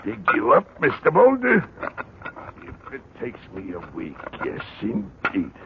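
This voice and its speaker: An actor playing a kindly, excitable older gardener who rambles and mutters to himself, in 1940s radio drama style. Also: male voice, medium pitch, unhurried. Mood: effortful, puzzled. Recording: crackly, muffled 1940s radio recording.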